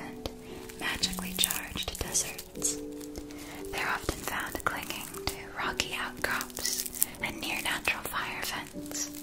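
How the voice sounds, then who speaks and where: A woman playing a gentle witch shopkeeper in an ASMR roleplay, explaining in a soothing, breathy whisper with careful delivery. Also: close to an everyday voice. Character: gentle female witch shopkeeper explaining magical potion ingredients.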